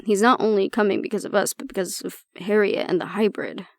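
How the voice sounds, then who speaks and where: A woman playing an anxious young woman with a tough front and a soft core, confiding casually and with swearing in an intimate audio roleplay. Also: mid-range voice, a little fast, gravelly, no echo, clean studio sound, lightly acted. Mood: bitter, resentful.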